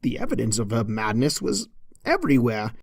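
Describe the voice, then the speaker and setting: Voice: mid-range voice, huge pitch swings, fairly quick, slightly rough, no room sound, clean studio sound. A storyteller reading a comedic story as an arch, mock-pompous narrator in a theatrical manner. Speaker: fan fiction reader.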